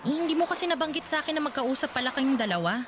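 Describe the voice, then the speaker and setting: A woman's voice: high voice, expressive, rapid-fire, music in the background. A woman playing an uneasy, suspicious young woman in love in a radio drama, probing hesitantly with a Filipino accent. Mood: troubled, uneasy.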